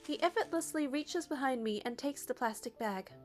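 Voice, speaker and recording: A woman with a high voice reads story narration expressively, as a player going through a game. Soft music plays behind her. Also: expressive, normal speed.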